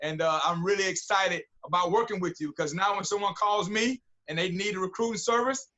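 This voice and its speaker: A man's voice: high voice, a little fast, pushed and bright. A man in his forties giving a motivational pep talk as a coach, with rhythmic repetition and direct, preacherly exhortation. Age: 40s. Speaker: guest, football coach and motivational speaker.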